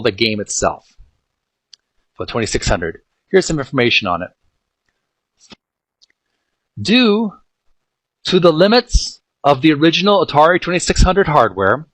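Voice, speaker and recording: A man with a mid-pitched voice speaks as an informal channel host, reading aloud and commenting as he goes. His phrasing is halting and piecemeal, with words often repeated. The recording is dry, with very little room sound. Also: a bit bright, dry recording. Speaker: video game channel host. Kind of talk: informal read-aloud and commentary on retro game art.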